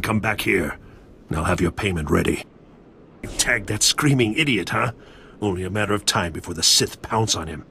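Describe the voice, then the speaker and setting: A man's voice: low-pitched, vocal fry, fairly bright tone, slight background noise. An actor playing a shady, anxious man in over his head in a video game, talking in a furtive, streetwise way. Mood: scornful, then uneasy, grim. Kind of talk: video game dialogue acting.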